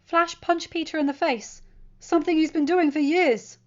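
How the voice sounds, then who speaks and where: A woman's voice: high-pitched, steady tone, normal speed, muffled recording, voice pushed up, close to an everyday voice. A woman voicing a loyal, indignant teenage boy who speaks up boldly in a story. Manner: bold, emphatic.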